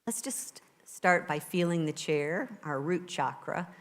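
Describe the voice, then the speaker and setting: A woman minister with a low voice, preaching a sermon and leading a guided meditation in a conversational, encouraging way to a live congregation. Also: lively intonation, takes it slowly. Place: church sanctuary with a live congregation. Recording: live church recording with long reverb.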